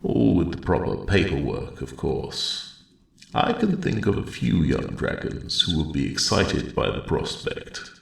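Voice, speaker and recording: Super deep rumbly old dragon elder for asmr, very gravelly, slow and unhurried, polite kindly formal talk. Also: male voice, very animated, roomy sound, exaggerated voice. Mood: thoughtful, calm. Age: older. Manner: measured, hesitant, formal old-fashioned phrasing. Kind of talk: ASMR roleplay, soft intimate storytelling.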